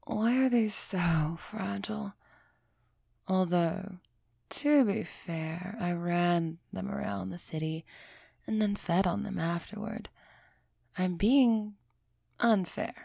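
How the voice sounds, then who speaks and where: A woman playing an aloof, aristocratic vampire who is softening toward a human, in a measured, musing audio roleplay performance. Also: medium pitch, creaky, no room sound, clean studio sound. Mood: troubled musing. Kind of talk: scripted audio roleplay acting, close and soft.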